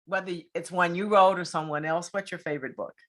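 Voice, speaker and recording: A woman's voice: on the low side, fairly even intonation, brisk, a bit bright. A woman hosting a casual online interview, informal and encouraging as she asks her questions. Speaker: interview host.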